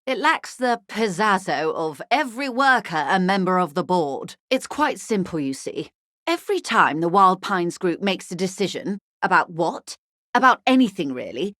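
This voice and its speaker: An actress playing a shrewd, genteel corporate negotiator in a video game, speaking in a refined, articulate and dryly understated manner. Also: female voice, medium pitch, fairly bright tone, no echo, studio quality. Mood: dry, composed. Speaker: video game character voice actor. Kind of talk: video game dialogue, measured conversational delivery.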